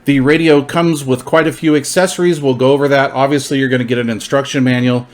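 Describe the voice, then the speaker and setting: A man's voice: medium pitch, steady tone, fast. A man giving an informal product overview, explaining features in a plainspoken way. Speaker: product reviewer presenting gear.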